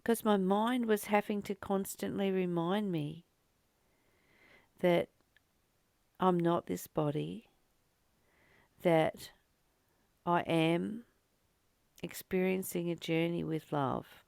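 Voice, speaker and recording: Slow, creaky-voiced woman reflecting on spirituality. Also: on the low side, fairly even intonation, a little mellow, no room sound, studio quality. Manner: unhurried and contemplative, with pauses and repeated phrases. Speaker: host of a spiritual reading and study series. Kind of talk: reflective spiritual reading with informal personal commentary.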